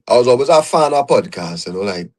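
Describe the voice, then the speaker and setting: A man's voice: mid-range voice, animated, fast. A young man with a Trinidadian accent, a podcast guest reflecting on his career in a casual interview, often adding "you know".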